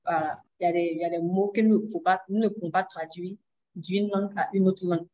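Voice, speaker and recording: Woman interpreter on a webinar, lowish voice, calm and explaining things step by step. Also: fast, poor audio. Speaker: sign language interpreter.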